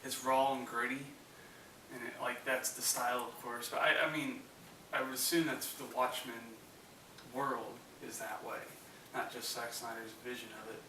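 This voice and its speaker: A man's voice: mid-range voice, fairly even intonation, normal speed, bright, forceful tone. A man co-hosting a podcast, casually discussing films in a conversational style. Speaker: podcast co-host. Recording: echoey, distant mic, faint noise.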